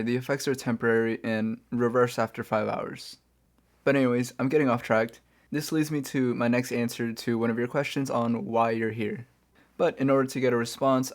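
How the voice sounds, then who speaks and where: A smug, playful supervillain , acted by a man, speaks softly at a medium pitch. He is wry and casually conversational, like a kidnapper chatting with an old rival in an ASMR roleplay. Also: close to an everyday voice. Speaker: audio roleplay performer. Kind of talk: soft-spoken ASMR roleplay acting.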